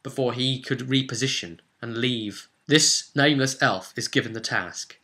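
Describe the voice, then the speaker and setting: A man with a very bright, forceful voice narrates a fantasy campaign like a game master. His delivery is theatrical and emphatic, with deliberate pauses between phrases. The pitch sits in an average male range. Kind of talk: dramatic scripted fantasy storytelling.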